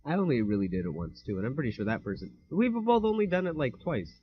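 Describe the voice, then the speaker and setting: A man's voice: mid-range voice, big pitch swings, quick pace. A young man co-hosting a podcast, chatting casually and rambling, often laughing and mixing up his words. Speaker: podcast co-host. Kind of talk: casual chat. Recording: dull lo-fi home mic.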